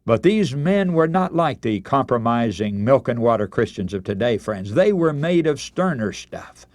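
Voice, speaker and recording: A man's voice: mid-range voice, big pitch swings, normal speed, darkish tone, dry recording. A man preaching a radio sermon, retelling Bible stories in dramatic, emphatic oratory and addressing his listeners as friends.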